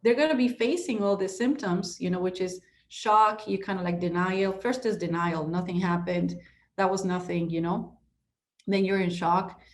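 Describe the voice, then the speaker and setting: A woman's voice: lowish voice, normal speed. A middle-aged woman from Ecuador, talking as a podcast guest in a passionate, emphatic way, full of restarts and "you know"s. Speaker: podcast guest, real estate broker. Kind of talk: conversational interview.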